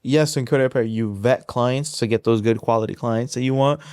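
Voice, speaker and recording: A man's voice: mid-range voice, a little fast, a little mellow, clean tone, dry recording, clean studio sound. A man of thirty co-hosting a podcast, talking casually and rambling with lots of filler words. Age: 30s. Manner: rambling with many likes and you knows.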